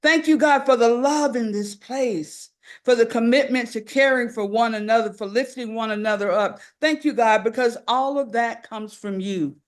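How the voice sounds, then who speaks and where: Woman pastor praying in measured cadence. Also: a little high. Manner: measured, repetitive prayer cadence. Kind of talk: opening prayer. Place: city council chamber.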